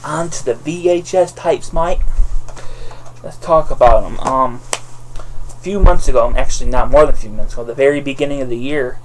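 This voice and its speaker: A man with a lisp giving a casual, rambling movie review, halting and often correcting himself. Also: medium pitch, slight background noise, poor audio. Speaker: vlogger reviewing DVD purchases.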